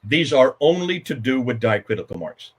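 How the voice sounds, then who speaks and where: Husky American male guest, lively pitch, forceful and commanding. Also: normal speed. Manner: emphatic, interrupts and takes charge of the conversation. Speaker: guest speaker. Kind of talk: animated explanatory talk.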